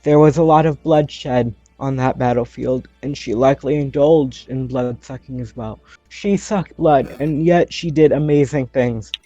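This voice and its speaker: A man's voice: mid-range voice, steady tone, unhurried, hardly any pauses, warm tone, no room sound, highs cut off, soft background music, lightly acted. A man playing a remorseful teenage vampire girl who pleads solemnly and earnestly in court in a tabletop game. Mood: solemn, regretful.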